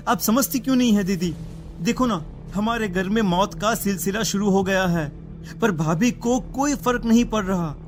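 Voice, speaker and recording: An actor playing an anxious, suspicious younger brother in a cartoon drama, urgent and insistent. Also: male voice, sits high, moderate pace, natural voice. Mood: urgent, worried. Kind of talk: dramatic cartoon story acting. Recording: music behind the voice.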